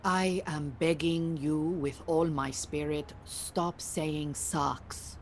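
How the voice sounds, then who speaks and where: An actress playing an exacting Iranian refugee mentor in an audio play, speaking formally and precisely with dry, bossy authority. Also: female voice, low voice, steady tone, takes it slowly, slight background noise. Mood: dry, disapproving. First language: Farsi. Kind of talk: audio theatre acting.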